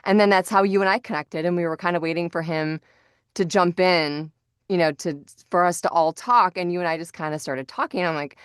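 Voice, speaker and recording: A woman's voice: lowish voice, steady tone, brisk, smooth, no echo, studio quality. A woman hosting a podcast, talking in a chatty, informal, rambling way. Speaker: podcast host. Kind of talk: casual chat.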